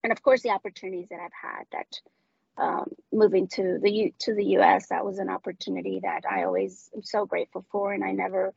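A Colombian woman with Spanish as her first language talks through a casual interview, halting and restarting her sentences. Her voice is gravelly and breathy. The dry, dull audio sounds like a video call. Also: mid-range voice, level tone, a little mellow, no echo. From Colombia, Medellín. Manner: hesitant, many restarts and fillers. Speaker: guest, customer success director.

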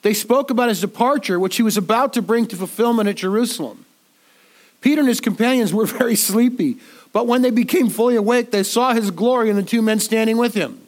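This pastor preaches with a fairly high voice that sounds stuffed up and slightly nasal from a head cold. He talks to the congregation conversationally, stumbling over and repeating words as he gathers his thoughts. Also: a little fast. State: congested from a cold. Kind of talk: sermon.

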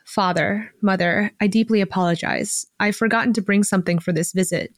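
A woman's voice: medium pitch, steady tone, a little fast, no echo, studio quality, lightly acted. A woman voicing a troubled young male prince in a story reading, earnest and dramatic. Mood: apologetic sorrow. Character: troubled, sorrowful young prince who turns angrily threatening.

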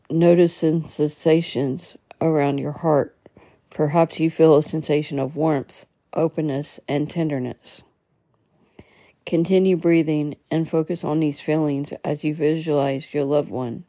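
A woman leading a guided meditation in a soothing, measured way, occasionally stumbling as she reads. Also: sits low, steady tone, mellow, dry recording. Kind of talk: guided meditation, slow instructional reading.

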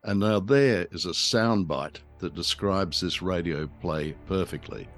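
A man's voice: sits low, unhurried, soft background music. An older man co-hosting a show, chatting casually about a radio drama. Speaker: podcast co-host.

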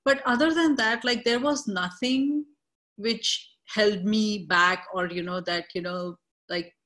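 A woman hosting an interview, speaking in a thoughtful, halting way with fillers. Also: mid-range voice, fairly bright tone. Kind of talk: conversational interview.

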